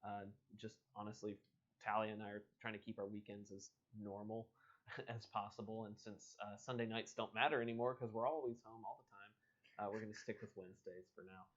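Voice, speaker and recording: A man's voice: fairly low pitch, flat delivery, fairly quick, a bit bright. Man, casual live-stream host, informal book chat and reading, slightly muffled audio.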